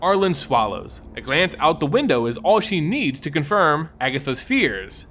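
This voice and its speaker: A man narrating a scripted story in a theatrical, suspenseful manner with deliberate phrasing. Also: on the high side, very animated, fairly bright tone, a little hiss or hum.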